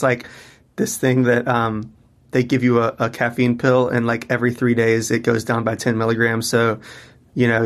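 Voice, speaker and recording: Chatty musician with a flat delivery. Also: male voice, medium pitch. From Mississippi, US. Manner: loose and chatty, many fillers and restarts. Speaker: musician guest. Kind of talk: casual interview conversation.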